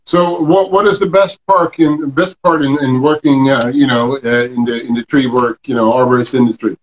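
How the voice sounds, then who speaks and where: A man hosting a casual interview chat, speaking haltingly with many fillers and restarts. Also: medium pitch, fairly even intonation, reverberant, muffled recording. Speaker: podcast host.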